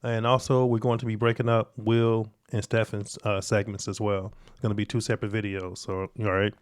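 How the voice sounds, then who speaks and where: A man's voice: on the low side, little pitch movement, fairly quick, clear, resonant tone. A man hosting a reaction video, giving casual, conversational commentary in an informal, slangy way.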